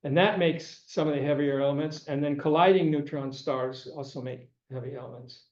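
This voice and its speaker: An older man giving a classroom lecture, halting and repeating words, who is losing his voice and keeps clearing his throat. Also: fairly high pitch, a little mellow. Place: lecture room. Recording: echoey room, dull lo-fi sound.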